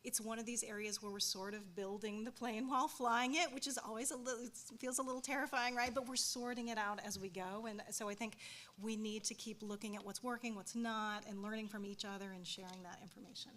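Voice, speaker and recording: A woman's voice: fairly high pitch, steady tone, brisk, a bit bright. A woman on a panel, speaking conversationally and thoughtfully and often ending points with a questioning "right". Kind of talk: panel discussion.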